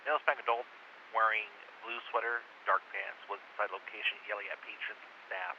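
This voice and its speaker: A man's voice: lowish voice, steady tone, fast talker. A man working as a police dispatcher, reading out calls in clipped procedural phrases over a police radio. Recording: muffled hissy police scanner radio.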